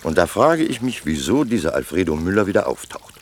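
Smooth businessman, radio play, very lively intonation, polite, insinuating, persistent, slightly noisy recording. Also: low voice, a little fast. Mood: persuasive, insinuating. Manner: courteous, insinuating, persuasive. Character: smooth, persistent male Mexican businessman lobbying an official. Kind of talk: German radio play acting.

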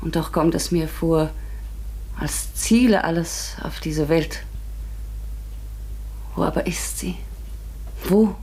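An actress playing a reflective schoolteacher in a German radio drama, speaking in a measured, earnest, wondering way. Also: female voice, sits low, dark tone, slightly rough, exaggerated voice. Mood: searching, earnest. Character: reflective female schoolteacher recounting a mysterious encounter. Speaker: narrator and lead actress.

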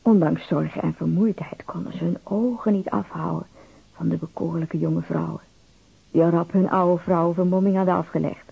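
An actor narrating an adventure tale for a radio play in a husky, creaky voice. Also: male voice, a little high, fairly even intonation, normal speed, exaggerated voice. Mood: measured, suspenseful. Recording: thin phone-like band-limited sound.

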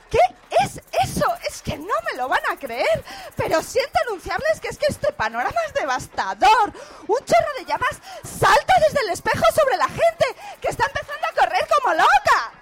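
A very high-pitched female radio reporter , played by an actress, exclaims in horror as she describes what she sees. Her delivery is halting, breathless and melodramatic, in the manner of a parody radio play news flash. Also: a bit bright, slight background noise, heavily acted. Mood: shaken. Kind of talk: radio drama parody of a live news bulletin.

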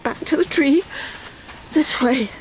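A woman's voice: high voice, slow, slight background noise, rough recording. An actress playing a proper middle-aged Englishwoman in a radio drama, with an English accent. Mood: anxious. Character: smug middle-aged Englishwoman, lost and breaking down.

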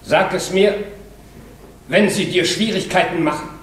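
A man's voice: high-pitched, measured pace, a bit bright. A man playing a firm, probing colleague with a terse way of talking in a stage drama. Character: firm, probing male colleague questioning a worker. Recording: distant echoey stage recording, faint noise.

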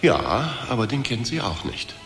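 Formal servant in an echoing hall. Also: male voice, medium pitch, very animated, moderate pace. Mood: politely dismissive. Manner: stiffly formal. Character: formal, dismissive male servant announcing his mistress's refusals. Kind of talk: radio drama acting. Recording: long hall reverb, loud music behind.

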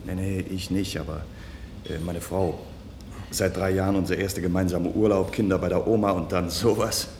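Friendly, blunt male lab colleague, radio drama: colloquial, lowish pitch, echoey room. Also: steady tone, moderate pace, darkish tone, a little hiss or hum. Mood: confiding. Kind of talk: naturalistic German radio play dialogue.

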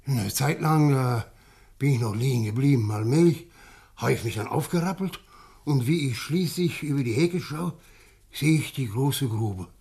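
An actor in a German radio play, playing a shaken, tipsy village man who tells of an uncanny sighting in a halting, insistent way. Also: mid-range voice, expressive, darkish tone, close to an everyday voice. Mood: bewildered. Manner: halting, stammering, insistent eyewitness telling.

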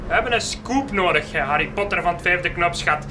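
An actor playing a foul-mouthed, bullying newspaper editor-in-chief in a comic radio drama, with a Flemish accent and theatrical sarcasm. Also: male voice, high voice, a little fast, background noise, natural voice. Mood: sarcastic, scornful. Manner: theatrical, sarcastic, barking colourful insults.

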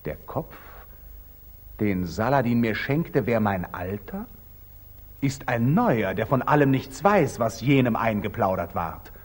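An actor playing a passionate young Knight Templar in intense, introspective declamation, in the style of 1940s radio drama. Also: male voice, medium pitch, very animated, unhurried, breathy edge. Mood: brooding, resolute. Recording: aged 1940s radio recording.

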